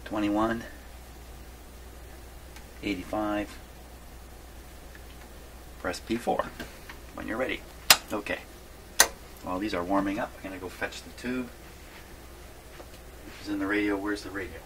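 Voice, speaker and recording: A man's voice: fairly low pitch, fairly even intonation, measured pace, pauses often. A man giving a casual hands-on workshop demonstration, thinking aloud in a rambling way and often questioning himself. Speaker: presenter of radio repair videos. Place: small home workshop. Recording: clearly noisy small workshop recording.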